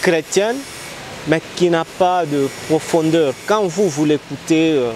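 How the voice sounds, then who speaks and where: A man's voice: fairly high pitch, measured pace, darkish tone. A man asks the questions. He probes his guest, leaning on fillers as he builds each question. Faint noise sits behind him. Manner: probing, many filler sounds. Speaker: interviewer, host. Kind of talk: interview questions.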